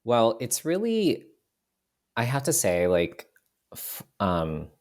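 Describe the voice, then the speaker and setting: A man's voice: medium pitch, wildly swooping pitch, takes it slowly, a little mellow. A young man whose first language is Cantonese, a podcast guest talking reflectively, with frequent restarts and "you know" fillers. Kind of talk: reflective casual conversation.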